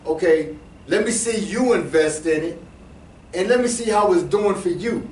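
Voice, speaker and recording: A man's voice: high voice, normal speed, a little mellow. Slangy man talking in an echoey room. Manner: loose and conversational, with slang, repeated restarts and asides to the audience. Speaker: presenter explaining cryptocurrency to a small audience. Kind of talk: casual talk to a live group. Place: room with a small seated audience. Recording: echoey room voice from a distance, faint background hum.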